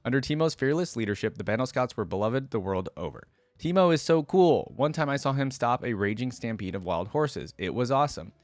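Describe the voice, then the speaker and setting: A man hosting a reaction video in an informal way, reading passages aloud and pausing to comment on them. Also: medium pitch, very animated, no echo.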